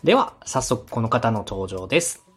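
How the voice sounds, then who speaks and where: A male podcast host with a slightly husky, mid-pitched voice and a polite manner. He interviews and gives encouraging feedback in a structured way, with music playing behind him. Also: animated, moderate pace. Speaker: podcast host and radio director.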